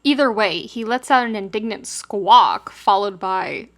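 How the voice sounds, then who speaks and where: A woman reading fiction aloud as a podfic narrator, in an expressive scripted storytelling style. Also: medium pitch, a little slow.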